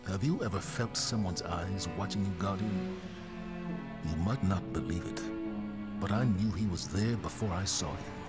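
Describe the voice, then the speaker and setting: Man playing a deep, weary, solemn old-guard commander. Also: close to an everyday voice. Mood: haunted, uneasy. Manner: measured, reflective, grave. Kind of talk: dramatic video game monologue. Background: prominent music.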